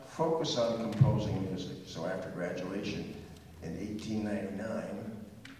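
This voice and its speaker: A man's voice: lowish voice, moderate pace, warm tone, slight background noise. Older man lecturing from far away in an echoey hall. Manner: chatty and digressive, sings snatches of tunes. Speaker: pre-concert speaker. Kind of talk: informal music history talk.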